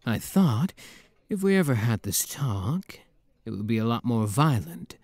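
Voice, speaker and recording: A man's voice: medium pitch, sing-song, measured pace, a little mellow, no room sound. A man playing a playful, flirty demon prince with a teasing, theatrical manner in an intimate ASMR roleplay. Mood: flustered, flirty.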